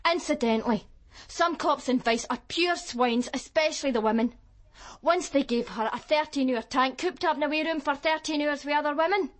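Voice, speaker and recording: An actress playing a streetwise, defiant Glasgow sex worker with a Glaswegian accent, blunt and colloquial in a radio drama. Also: female voice, high-pitched, brisk, close to an everyday voice. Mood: wry, bitter. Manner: blunt, colloquial, matter-of-fact. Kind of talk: naturalistic radio drama acting.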